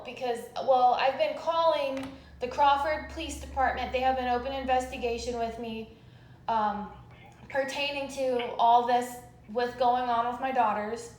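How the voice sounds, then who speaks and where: A woman calling in a complaint over the phone, explaining insistently and in detail. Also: fairly high pitch. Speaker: caller making a complaint to police. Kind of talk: phone call, recounting a complaint. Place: recorded phone call, echoey. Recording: echoey phone call recording.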